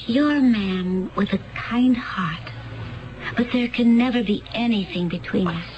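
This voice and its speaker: A woman's voice: on the high side, a little slow, natural voice. An actress in a radio mystery drama playing a serene, mysterious woman from a far future world, speaking in solemn, cryptic, formal phrases. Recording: muffled, noisy old radio broadcast.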